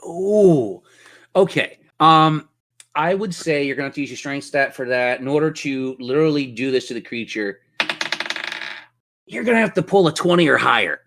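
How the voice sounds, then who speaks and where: Bright-voiced man running a tabletop game. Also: on the high side, expressive, moderate pace, creaky. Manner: vivid, playful descriptions. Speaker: game master. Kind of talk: narrating a tabletop fantasy game.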